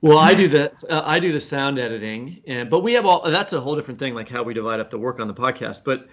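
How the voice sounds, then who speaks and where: A man's voice: medium pitch, lively intonation, fast. A man speaking as a podcast guest, a writing teacher telling anecdotes in an informal, self-deprecating, salty way. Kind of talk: casual interview chat, anecdotal.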